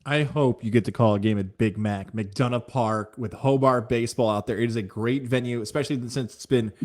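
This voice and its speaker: A man's voice: mid-range voice, fairly even intonation, a little fast, a little mellow. A middle-aged American man hosting an interview podcast in a chatty, effusive, conversational way. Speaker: podcast host, sports broadcaster.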